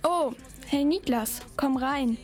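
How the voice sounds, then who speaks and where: Brief: upbeat teenage boy, voiced by a girl, high, light voice. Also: slow, soft background music. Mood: friendly. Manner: chatty, easy, reassuring. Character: chatty sixteen-year-old schoolboy, friendly. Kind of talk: school radio play acting.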